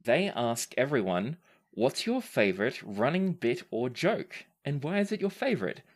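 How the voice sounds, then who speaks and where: Lively-pitched podcast game master. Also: normal speed. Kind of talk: casual podcast Q&A, reading out listener questions.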